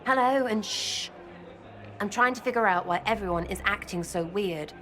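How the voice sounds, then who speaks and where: A blunt, mischievous young elf woman from a fantasy video game, voiced by an actress. Her British voice is bright and forceful, at a middle pitch. She talks in clipped, irreverent slang over faint music. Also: normal speed, close to an everyday voice. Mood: conspiratorial. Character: cheeky, blunt young female elf rogue. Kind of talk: video game dialogue acting.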